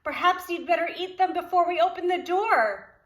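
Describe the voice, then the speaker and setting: A woman's voice: very high voice, normal speed, fairly bright tone, echoey room, recorded from a distance, voice pushed up. A woman reading aloud as a welcoming, hospitable mother in a children's picture book, in an inviting sing-song. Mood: concerned.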